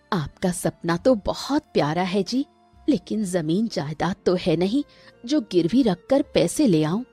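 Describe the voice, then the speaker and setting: A mellow, slightly low voice for a gentle, sympathetic poor village wife in a cartoon, acted by a woman. She speaks slowly and respectfully, with music underneath. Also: expressive, breathy edge, full character voice. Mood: sympathetic, resigned. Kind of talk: acted Hindi cartoon dialogue.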